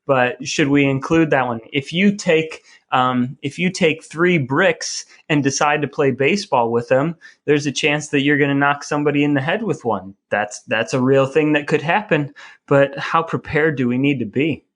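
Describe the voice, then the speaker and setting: A man's voice: a little high, normal speed, fairly bright tone. Wry, rambling man chatting casually. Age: 30s or 40s. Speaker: podcast co-host. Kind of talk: casual bantering chat.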